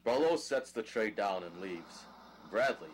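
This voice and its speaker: A man's voice: on the low side, expressive, unhurried. A man reading scripted narration of stage directions for an audio drama. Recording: clearly noisy background.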